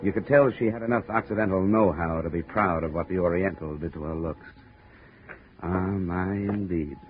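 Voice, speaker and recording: Low-voiced wry radio detective played by a man. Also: moderate pace, lightly acted. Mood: dry, narrating. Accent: American. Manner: dry, wisecracking. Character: wry, hard-boiled male insurance investigator undercover as sailor. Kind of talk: 1950s radio detective acting and first-person narration. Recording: dull old radio recording with music.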